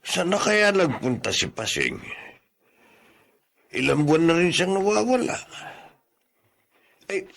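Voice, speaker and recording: An actor playing a concerned, inquiring older uncle with a creaky voice in a radio drama. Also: male voice, fairly high pitch, huge pitch swings, measured pace, long pauses, fairly bright tone, full character voice.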